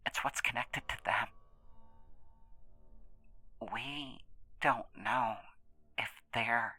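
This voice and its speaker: A man plays an anxious, earnest young man being questioned in an audio drama. His voice is forceful yet breathy and husky, with halting, repeated words. The sound is close and dry. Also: medium pitch, steady tone, no room sound, exaggerated voice. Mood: worried, pleading. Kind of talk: naturalistic audio drama acting.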